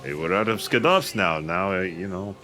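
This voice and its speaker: A man's voice: deep voice, expressive, normal speed, pushed and bright. A man co-commentating on a video game in casual, joking banter. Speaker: let's play co-commentator. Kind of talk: casual banter over gameplay. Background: video game music.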